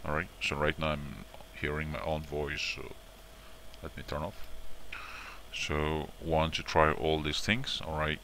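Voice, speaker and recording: A man presenting an informal step-by-step software tutorial in a repetitive, instructional way, often checking in with short tags. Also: extremely low pitch, steady tone, a little slow, gravelly, a little hiss or hum.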